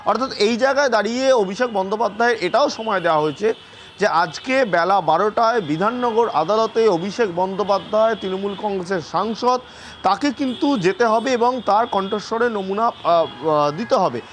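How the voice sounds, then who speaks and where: A man's voice: high-pitched, moderate pace, a little hiss or hum. A man with a West Bengal accent giving an unscripted live field report in an emphatic, repetitive manner.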